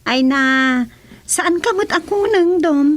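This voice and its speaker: A teasing village friend who likes to caution, in an Ilocano radio comedy. Her high voice takes its time and carries an Ilocano accent. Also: lively intonation, slow, heavily acted.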